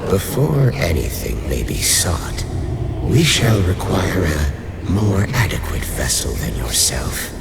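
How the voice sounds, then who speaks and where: A man playing an ancient, commanding undead lich in a dark fantasy radio play, speaking formally with calm, dry condescension. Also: low voice, vocal fry, heavily acted. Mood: dry, sardonic. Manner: slow, imperious, formal, drily sardonic. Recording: loud music under the voice.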